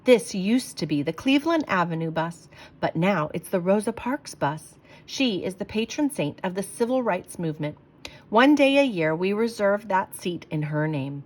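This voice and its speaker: A woman's voice: mid-range voice, expressive, close to an everyday voice. A woman voicing a kindly talking bus. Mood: gentle. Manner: slow, gentle and reassuring.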